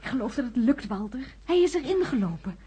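An actress playing a discreet, inquisitive confidante in a radio play, speaking in a confiding way. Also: female voice, a little high, big pitch swings, a little fast, warm tone, husky, full character voice. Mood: conspiratorial, pleased. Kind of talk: conversational radio play acting.

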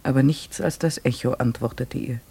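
An earnest troubled chronicler, mid-pitched, measured formal radio play narration. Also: male voice, creaky, warm tone, breathy edge. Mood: wistful narration.

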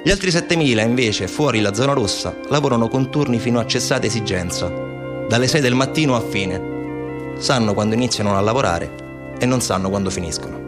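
A man narrating a scripted theatre piece in a measured, factual storytelling manner. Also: mid-range voice, over music. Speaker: narrator, stage actor.

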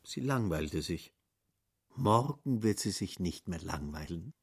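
Male narrator for literary radio drama, low and husky. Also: expressive, slow pace.

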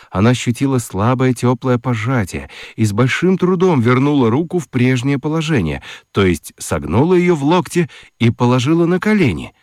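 A man narrating a children's radio play in an expressive, deliberate, wry storytelling style. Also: mid-range voice, no echo. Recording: dry studio with music underneath. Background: music.

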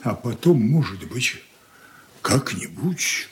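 A stern old merchant father in a radio play. He speaks slowly with wide swings of pitch, domineering yet heartbroken. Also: big pitch swings. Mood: grieved, stern. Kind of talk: Soviet radio drama acting.

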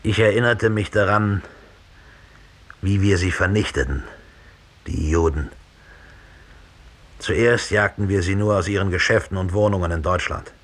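An actor playing an unrepentant Nazi perpetrator in a radio drama, speaking in a husky, measured, deliberate monologue with a needling edge. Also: male voice, sits low. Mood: cold, matter-of-fact. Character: unrepentant German Nazi perpetrator, coldly confessing, smugly rational.

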